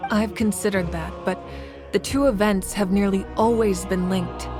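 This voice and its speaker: A woman plays a fire goddess who leads her people, with a voice at an ordinary middle pitch. She talks in a calm, patient, measured way, like someone used to explaining hard things. Soft music sits under her lines. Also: natural voice. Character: female fire goddess ruler, calm and protective. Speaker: Pyro Archon. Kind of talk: video game voice acting.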